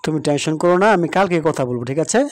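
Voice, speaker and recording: A man voicing a calm, firm but sympathetic factory supervisor in a cartoon moral story, speaking in a measured, official way. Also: a little high, no echo, lightly acted. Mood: reassuring.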